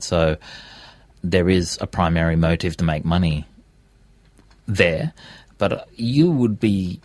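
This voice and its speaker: A man's voice: deep voice, no room sound. An Australian man talking conversationally as a radio presenter and podcaster being interviewed in a studio.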